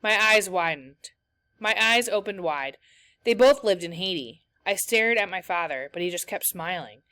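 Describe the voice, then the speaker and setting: A woman teacher reading a children's novel aloud, with clear storytelling after a few discussion questions. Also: fairly low pitch, expressive, moderate pace, sharp tone, no echo.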